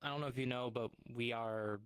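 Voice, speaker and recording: A role-player chatting casually online in improvised scenes, with a hesitant, halting delivery. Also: medium pitch, fairly even intonation. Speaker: role-player as a new guild visitor. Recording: music behind the voice. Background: music.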